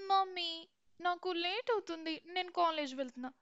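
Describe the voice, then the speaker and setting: A gossiping young college girl from a cartoon story, put on by a woman narrator. Her voice is high and whiny. The recording is dry and dull. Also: fairly quick, fairly bright tone, no echo. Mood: hurried. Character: teenage college girl, sharp-tongued.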